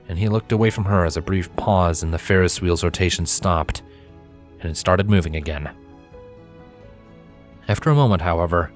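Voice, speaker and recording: A man reading a scripted story aloud as its narrator. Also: deep voice. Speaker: narrator, fan fiction reader.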